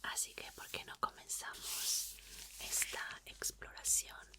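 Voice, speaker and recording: A woman playing a calm, caring young scientist who repairs an android, in a slow, soothing, breathy ASMR whisper. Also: natural voice. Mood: gentle, reassuring. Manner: slow, soothing, repeating words softly. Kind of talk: whispered Spanish ASMR roleplay.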